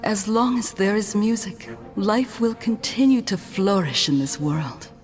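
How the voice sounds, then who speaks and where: A woman voicing a frail, gentle flower spirit in a video game, measured and poetic. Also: medium pitch, lively intonation, faint music behind, close to an everyday voice. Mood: serene, solemn. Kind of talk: scripted video game dialogue. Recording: long reverb.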